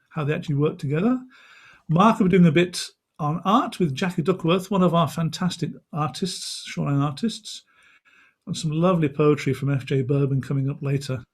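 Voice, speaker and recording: Man, slightly high voice, informal event host, chatty, unscripted, on a video call. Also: darkish tone. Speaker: co-host, magazine editor and co-founder. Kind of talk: casual unscripted event hosting.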